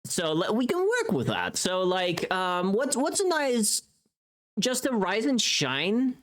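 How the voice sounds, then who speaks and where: A livestreamer with a mid-pitched, very animated voice chats casually to viewers. The delivery is playful and rambling, thinking out loud as ideas come, with loose, sweary language. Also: slow. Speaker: livestreamer and voice pack creator.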